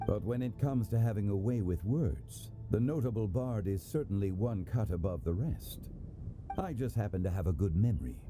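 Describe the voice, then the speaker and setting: A man playing a composed, learned gentleman in a video game, speaking formally, politely and with measured dignity. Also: fairly low pitch, lively intonation, lightly acted. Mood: courteous, calm. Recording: music behind the voice. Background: music.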